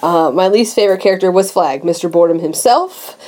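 A woman's voice: lowish voice, a little fast, mellow. A young woman co-hosting a casual podcast chat, talking freely, laughing often and trailing off mid-thought. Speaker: podcast co-host.

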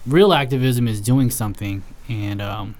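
An American man from San Francisco speaking as a podcast guest, opinionated and deliberate, often searching for his words. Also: mid-range voice, darkish tone, slight background noise. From San Francisco, United States. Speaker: podcast guest, healthcare provider. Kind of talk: opinionated casual discussion.

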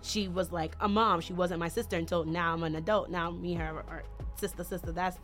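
A woman's voice: lowish voice, steady tone, a bit bright, faint music behind. A woman co-hosting a casual chat, talking emphatically and repeating points. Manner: fast, emphatic, repeats herself.

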